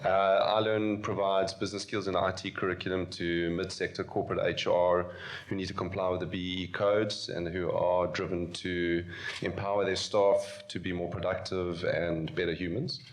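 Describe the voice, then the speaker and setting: A business owner introducing himself on a panel, dry and self-deprecating. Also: male voice, low voice, little pitch movement, normal speed.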